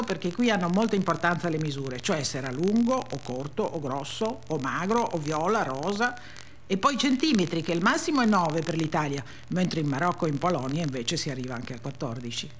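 An actress reading a memoir as a blunt, resentful female narrator, with deadpan, childlike run-on phrasing. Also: fairly low pitch, a little hiss or hum. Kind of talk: dramatic reading of a first-person memoir.

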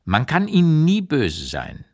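An actor playing an aged, blunt, keenly observant writer looking back, in a measured literary radio reading with deliberate pauses. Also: male voice, a little high, wildly swooping pitch, a little mellow, full character voice. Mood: reflective. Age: older.

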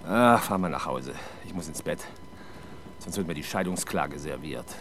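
An actor playing a weary, sardonic middle-aged police inspector in a German radio crime drama, grumbling with dry irony. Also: male voice, very deep voice, lively intonation, moderate pace. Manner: grumbling, dryly ironic. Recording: faint background noise.

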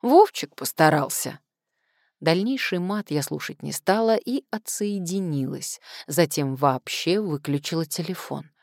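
Woman reading a novel in first person, deep-ish voice, expressive, very dry recording. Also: dry recording. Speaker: audiobook narrator.

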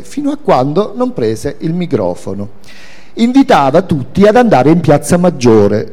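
A man reading a memoir aloud as its author, in an expressive, theatrical storytelling manner. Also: a little high. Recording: echoey hall with music. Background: music.

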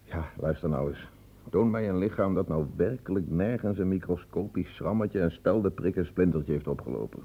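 Radio drama sceptical doctor: low, very mellow, husky, careful. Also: male voice, moderate pace. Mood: sceptical, explaining. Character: cautious, sceptical male hospital doctor.

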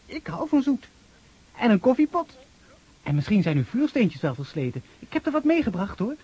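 Excitable, boyish young officer, lively pitch. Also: male voice, normal speed, husky, dull audio, full character voice. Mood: pleading, eager. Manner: stammering, breathless eagerness. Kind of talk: Dutch radio drama acting. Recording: noisy old radio play recording.

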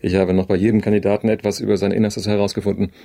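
A probing human resources manager in a radio play. His voice is fairly low, soft-edged and husky. He speaks in measured, formal corporate phrases and presses his point insistently, like an official. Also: little pitch movement. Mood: probing, composed. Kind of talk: radio drama acting.

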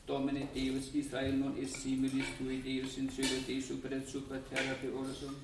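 A man's voice: mid-range voice, monotone, moderate pace, natural voice. A man playing a monk in a radio drama, solemnly reciting Latin prayer. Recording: distant, noisy, echoing room.

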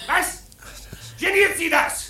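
A man's voice: extremely high pitch, slow pace, exaggerated voice. A man in a German radio drama playing a drunken, jealous young bridegroom who picks fights with barking, repetitive threats. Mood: drunken aggression. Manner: belligerent, repetitive, barking shouts. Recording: roomy, crowded tavern scene.

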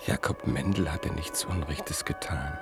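A man narrating a literary radio drama in a measured storytelling manner. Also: extremely low pitch, loud music behind the voice. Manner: measured storytelling, reporting speech indirectly.

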